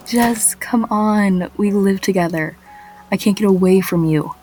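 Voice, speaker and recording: A woman's voice: medium pitch, steady tone, slow pace, faint music behind, close to an everyday voice. A woman playing an anxious, guarded young shop worker, narrating a radio drama in a wry, confessional way. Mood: hesitant, awkward.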